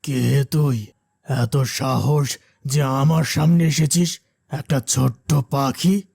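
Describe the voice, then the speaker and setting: A man plays a giant cartoon demon villain with a creaky, gravelly voice. He is arrogant and domineering, taking his time over every word. The menace is steady and dark. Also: mid-range voice, takes it slowly, no room sound, studio quality, heavily acted. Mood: arrogant, taunting. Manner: taunting, domineering.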